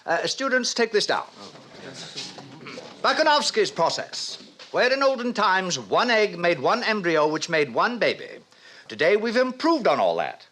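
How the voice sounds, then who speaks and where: An actor playing a pompous, lecherous middle-aged director lecturing theatrically in an old radio drama. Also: male voice, sits high, sing-song, unhurried, pushed and bright, heavily acted. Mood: pompous, enthusiastic. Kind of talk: 1950s radio drama acting. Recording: noisy old radio broadcast recording.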